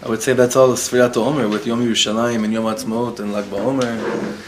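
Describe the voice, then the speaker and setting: Animated rabbi teaching a live class. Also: male voice, medium pitch, fairly quick, noisy background. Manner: animated, mixes in Hebrew and Yiddish words, questions the audience. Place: room with a live audience. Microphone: recorded for web students.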